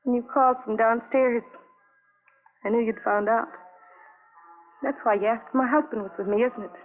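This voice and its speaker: An actress playing an anguished, lonely young wife in a 1950s radio drama, speaking in halting, repetitive fragments on a vintage broadcast recording. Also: female voice, on the high side, fairly even intonation, narrow, tinny audio, soft background music, close to an everyday voice. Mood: resigned, grieving.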